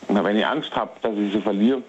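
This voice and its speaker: A man in his thirties calling into a radio show, talking haltingly and repeating his words. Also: on the low side, breathy edge. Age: 30s. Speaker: caller. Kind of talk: phone call-in to radio. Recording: muffled lo-fi phone line.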